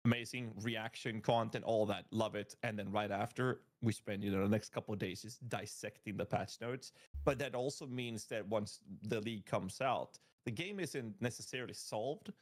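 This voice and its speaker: A man chatting on a podcast, telling animated gaming stories and laughing often. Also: lowish voice, moderate pace. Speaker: podcast guest. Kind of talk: casual podcast chat.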